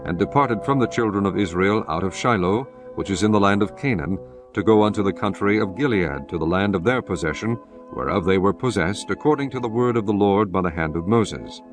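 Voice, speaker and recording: A man narrating scripture in a measured, solemn scripted reading. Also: on the low side, animated. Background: music underneath.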